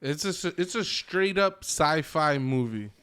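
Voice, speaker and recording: A man's voice: fairly high pitch, animated, a little slow, fairly bright tone, smooth. A man co-hosting a podcast, giving blunt, slangy opinions with swearing in casual chat. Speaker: podcast co-host.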